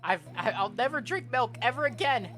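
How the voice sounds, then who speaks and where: A woman's voice: on the high side, lively intonation, brisk, a bit bright, voice pushed up. A woman voicing a distressed, overwrought girl character from a visual novel game. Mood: anguished, intense. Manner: dramatic, overwrought. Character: distressed girl forced to swear off milk.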